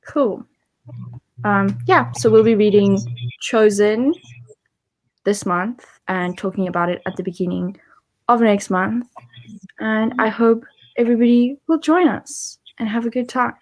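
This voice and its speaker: A woman's voice: fairly high pitch, a little slow, poor audio. A woman chatting casually as co-host of a livestream about books, on a video call. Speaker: co-host of a book readalong livestream. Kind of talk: casual chat.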